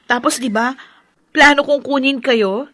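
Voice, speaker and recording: A playful, ambitious Filipina of nineteen, a loving daughter in a radio drama. Her voice is high and girlish, caught close with no room sound. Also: a little slow, fairly bright tone, no room sound. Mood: excited, hopeful.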